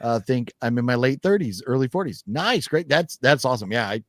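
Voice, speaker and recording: A man's voice: mid-range voice, animated, brisk, a bit bright, dry recording, clean studio sound. A man in his fifties hosting a casual livestream chat, reading viewer comments in a rambling, profane way with frequent laughter. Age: 50s. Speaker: podcast host.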